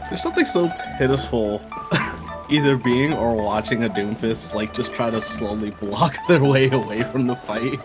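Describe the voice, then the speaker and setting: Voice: medium pitch, moderate pace, over music. A casual gamer chatting during play, with crude, teasing banter. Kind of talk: casual gaming chat.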